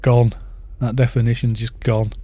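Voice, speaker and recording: A man's voice: medium pitch, level tone, normal speed, warm tone, dry recording. A British man in his fifties chatting in a rambling way while streaming a game and reading out viewer chat. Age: 50s. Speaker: gaming streamer.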